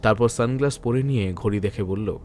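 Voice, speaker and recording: A man's voice: on the low side, fairly quick, a little mellow, slight background noise. A man narrating a scripted detective thriller in a literary, dramatic storytelling manner.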